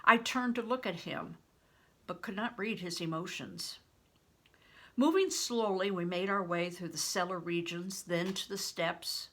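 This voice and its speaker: An older woman reads a story aloud as a grandmother would. She goes slowly and deliberately, one phrase at a time. Her voice is bright and forward, with a creaky grain. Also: on the low side. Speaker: grandmother reading aloud, retired reading teacher. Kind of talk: storybook narration with plain spoken asides.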